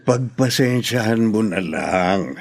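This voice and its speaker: An actor playing a calm, conciliatory father in a radio drama. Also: fairly low pitch, slow pace, gravelly, heavily acted. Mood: calm, soothing. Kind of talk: Tagalog radio drama acting.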